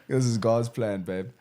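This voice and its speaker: A man's voice: medium pitch, darkish tone. A young man, a rapper chatting casually in an interview, laid-back and slangy. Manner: laid-back, slangy, says "bro" often, quick laughs. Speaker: rapper and producer guest.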